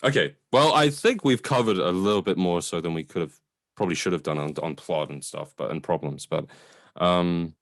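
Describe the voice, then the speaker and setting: Low-voiced man chatting about films. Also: moderate pace. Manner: informal, sweary, opinionated. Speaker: podcast co-host reviewing films. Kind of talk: casual film discussion.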